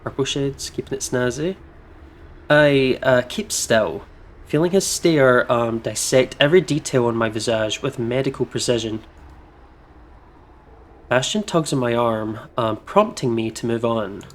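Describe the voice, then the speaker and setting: A man's voice: mid-range voice, unhurried. A man hosting a game playthrough, switching between informal chat and reading visual-novel narration aloud in a playful, casual way. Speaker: let's play host.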